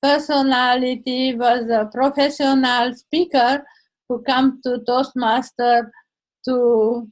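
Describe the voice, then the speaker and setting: Older woman on a video call, high voice, talks slow, hunts for words. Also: little pitch movement. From Transylvania, Hungary. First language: Hungarian. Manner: halting, searches for words, non-native grammar. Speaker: Toastmasters member and blogger. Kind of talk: casual video call chat.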